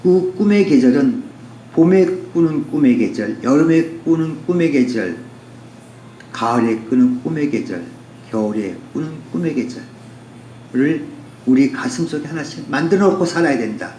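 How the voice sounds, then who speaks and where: A man's voice: fairly high pitch, animated, normal speed, dark tone. A man speaking as a poet, musing philosophically in an unscripted, poetic way with repeated phrasing. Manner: philosophical, poetic, with rhetorical repetition. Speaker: poet and author giving a talk. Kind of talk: reflective spoken essay, unscripted. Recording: roomy echo with faint background noise.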